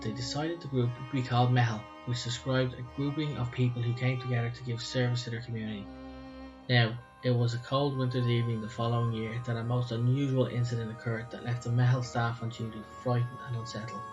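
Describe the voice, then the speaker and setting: A man's voice: mid-range voice, flat delivery, fast talker, dull audio. A man with an Irish accent, co-hosting a podcast and reading a story aloud. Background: music.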